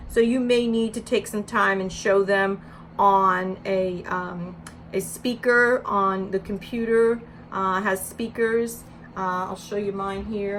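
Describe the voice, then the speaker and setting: A woman's voice: on the high side, a bit bright, slight background noise. A woman educator giving a read-aloud lesson with teaching tips, speaking in an instructional way and with emphasis when reading. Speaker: early childhood educator.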